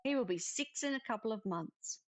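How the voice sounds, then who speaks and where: A woman's voice: a little high, lively intonation, brisk, soft background music, lightly acted. A woman reading the part of a troubled, grieving musician in a visual novel, hesitant and defensive. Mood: hesitant, honest.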